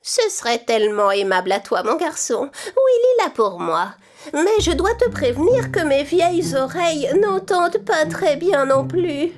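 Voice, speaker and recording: A woman's voice: high-pitched, very animated, measured pace, faint music behind. A woman playing a gleeful wicked witch who poses as a frail old lady, theatrical and falsely sweet, in a children's cartoon. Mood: feigning frailty.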